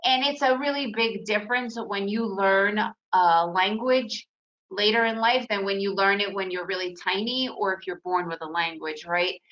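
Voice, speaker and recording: A woman's voice: mid-range voice, normal speed, dull audio. A woman in her forties, born in Russia and raised in the United States, giving a chatty, upbeat trading webinar with plenty of fillers. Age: 40s. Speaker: webinar presenter, day trader. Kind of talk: casual webinar presentation.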